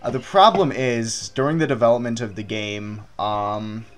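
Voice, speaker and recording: A man gives casual video game commentary among friends at an ordinary middling pitch. He jokes around and swears freely, keeping it loose and conversational. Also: moderate pace. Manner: sweary, joking. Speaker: let's play commentator.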